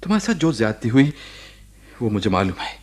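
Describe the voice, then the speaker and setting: Husky, animated, defensive ailing man. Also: mid-range voice. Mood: earnest, explaining. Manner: halting, earnest, self-justifying. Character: ailing married former boss, defensive and pleading. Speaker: radio drama actor. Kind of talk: Hindi radio play acting.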